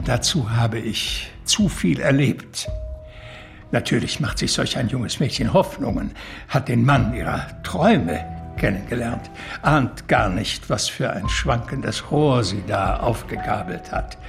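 An urbane, evasive gentleman in a radio drama. His mid-pitched voice swings expressively and has a creaky edge, and he talks in mannered, eloquent sentences. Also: lively intonation, measured pace, soft background music. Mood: confiding. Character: urbane, talkative male confidant, genteel and evasive. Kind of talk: German radio drama acting.

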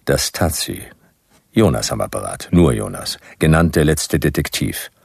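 An actor playing a grumpy, world-weary private detective, narrating a radio play in deadpan, laconic, sardonic phrases. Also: male voice, rumbling low, a little slow, creaky, breathy edge, no echo, clean studio sound, exaggerated voice. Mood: dry, sardonic.

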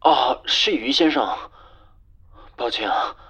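Man acting a gentle, husky-voiced young courier. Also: medium pitch, lively intonation, slow, exaggerated voice. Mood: apologetic, courteous. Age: young adult. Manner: soft-spoken, courteous. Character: gentle, polite, teasing young male courier. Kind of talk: Chinese audio drama acting. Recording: music under the voice. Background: music.

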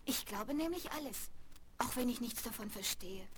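A woman's voice: a little high, expressive, normal speed, natural voice. An actress playing an affectionate, superstitious young circus girl in a radio play, with an emotional, effusive delivery. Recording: noisy 1970s vinyl radio play.

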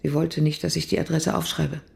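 A dark, soft-edged female voice with heavy creak, as a gravely ill, grieving woman in a radio drama. She is guarded and speaks in a subdued, hesitant way. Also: low-pitched, flat delivery, brisk. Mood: hesitant, confiding. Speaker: actress. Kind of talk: German radio drama dialogue.

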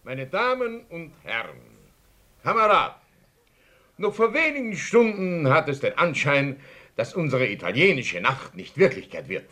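A man's voice: fairly high pitch, sing-song, measured pace, bright, forceful tone, full character voice. A man playing a self-important party chairman in a radio drama, giving pompous, formal oratory to comrades. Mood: solemn, festive.

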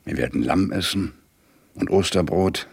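An actor playing a hard-boiled, shaken New York police detective in a radio crime drama, weary and angry, in terse, measured phrases. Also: male voice, no room sound, exaggerated voice. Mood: brooding, nostalgic. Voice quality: deep, gravelly. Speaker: actor, narrator and lead. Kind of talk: radio crime drama, intimate first-person monologue and dialogue.

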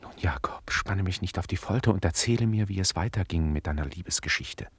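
An actor playing an impatient, querulous gentleman master in a radio play. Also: deep voice, lively intonation, a little fast, husky, no echo, soft background music, full character voice. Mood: impatient curiosity. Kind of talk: German radio play acting.

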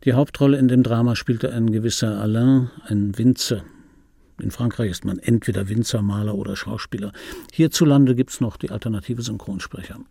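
Gravelly, low-key wry dubbing veteran. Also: male voice, moderate pace, warm tone, no room sound. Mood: dry, ironic. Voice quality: gravelly, husky. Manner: dry, ironic, pointed phrasing with repetitions. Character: wry, self-important male German film dubbing actor recounting his life. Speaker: narrator of a radio play. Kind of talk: intimate radio drama monologue.

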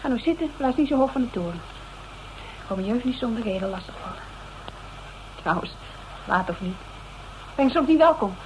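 A woman's voice: a little high, lively intonation, a little fast, pauses often, close to an everyday voice. An actress with a Netherlands Dutch accent playing a plain-spoken, familiar woman in a radio drama. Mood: coaxing, chiding. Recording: muffled, noisy radio recording.

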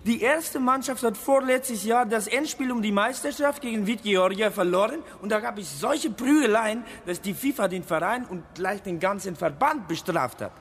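An actor playing a cheeky, candid young Georgian footballer in a radio drama, talking in a teasing, informal way. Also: male voice, sits high, normal speed, few pauses, slightly rough. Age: young adult.

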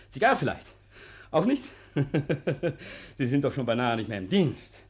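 An actor playing a nervous, over-eager murder suspect in a 1950s radio play, effusive, stammering and repeating himself. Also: male voice, medium pitch, big pitch swings, unhurried. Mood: jovial, insistent.

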